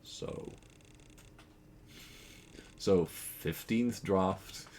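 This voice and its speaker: Low-voiced Dutch young man rambling. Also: drawn-out words, darkish tone, a little hiss or hum. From Netherlands. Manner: rambling, playful, laughs often. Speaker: game streamer. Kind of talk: casual gaming stream chat.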